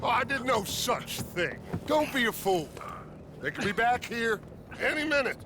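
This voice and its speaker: An actor playing a scheming, self-satisfied older American outlaw leader in a video game, with theatrical, oratorical delivery. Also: male voice, very high voice, very animated, measured pace, over music, exaggerated voice. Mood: defensive, triumphant. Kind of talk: dramatic video game acting.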